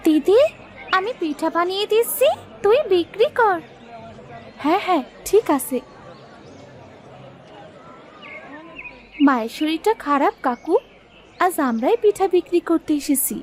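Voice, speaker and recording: Very high-pitched earnest young village girl. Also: expressive, normal speed, slight background noise. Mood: eager, helpful. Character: eager, dutiful young village girl, a caring daughter. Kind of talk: Bengali animated moral story dubbing.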